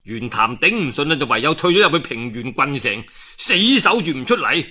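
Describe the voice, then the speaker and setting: A man with a Guangdong Cantonese accent telling a historical tale in animated, colloquial traditional storyteller style. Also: a little high, normal speed. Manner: colloquial, expressive, dramatic with frequent emphatic particles. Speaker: storyteller of a classical historical novel.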